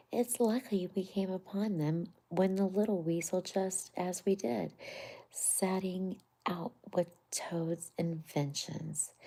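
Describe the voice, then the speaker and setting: A woman voicing an earnest, sensible male water rat in a soft whisper. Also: fairly low pitch, voice pushed up.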